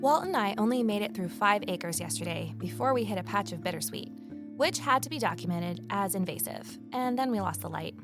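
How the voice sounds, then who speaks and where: A woman's voice: mid-range voice, expressive, fairly quick, music in the background, close to an everyday voice. A woman playing an eager, nosy former journalist turned sleuth, telling her story in earnest first-person audio drama style. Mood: determined, reflective.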